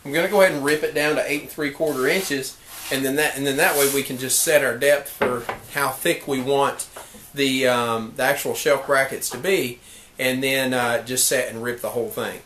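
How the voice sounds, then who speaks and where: A man in his thirties casually narrating a woodworking how-to in his workshop, relaxed and explanatory, with frequent fillers and restarts. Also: medium pitch, moderate pace, a little hiss or hum. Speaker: woodworking vlogger. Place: workshop shed.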